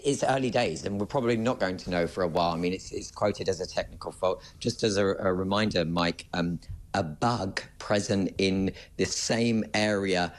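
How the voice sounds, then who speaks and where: A man giving live breaking news analysis as an aviation analyst, explaining step by step with hesitant phrasing and stressed key words. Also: lowish voice.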